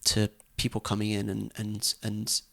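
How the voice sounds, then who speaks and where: A man's voice: lowish voice, monotone, normal speed, creaky, fairly bright tone. A young man who grew up in Dubai with a British family, speaking as a guest in a casual interview, hesitant and full of restarts. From grew up in Dubai, UAE, to a British family. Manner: hesitant, many restarts and fillers. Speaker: guest, photographer and radio documentary producer. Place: in London.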